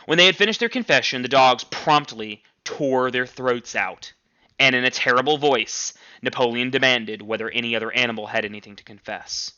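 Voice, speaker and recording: A man reading a novel aloud like a teacher, with emphatic, expressive storytelling. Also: mid-range voice, normal speed, lo-fi sound. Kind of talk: dramatic novel read-aloud.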